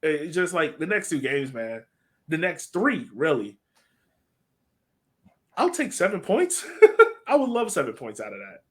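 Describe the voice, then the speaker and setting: A man's voice: a little high, huge pitch swings, measured pace, pauses often, slightly rough. A man co-hosting a soccer podcast, chatting casually through analysis and repeating phrases for emphasis. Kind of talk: casual sports analysis chat.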